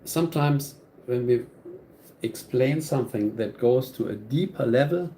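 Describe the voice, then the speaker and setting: A man with a German accent speaks slowly and earnestly to his live audience, looping back over his own phrases. His voice sits mid-range, with a soft, mellow tone. A faint hum of background noise lies under it. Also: medium pitch. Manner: earnest, repetitive, restarts phrases. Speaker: channel host. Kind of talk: live stream talk to viewers.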